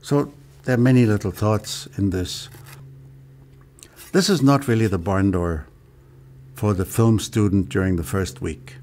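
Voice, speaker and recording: Slow, low-voiced older man explaining. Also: a little mellow, slight background noise. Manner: demonstrates with his hands while explaining. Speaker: lighting product designer. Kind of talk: explaining a product on camera. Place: studio with lights.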